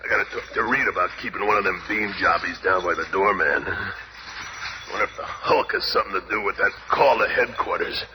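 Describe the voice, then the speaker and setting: An actor playing a gruff, hot-tempered, immensely strong superhero in a 1970s radio drama, grumbling and wisecracking in slang with a gravelly voice. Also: male voice, low voice, brisk, lightly acted. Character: gruff, hot-tempered monster-strong superhero, a blue-collar New Yorker. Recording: noisy old 1970s radio recording.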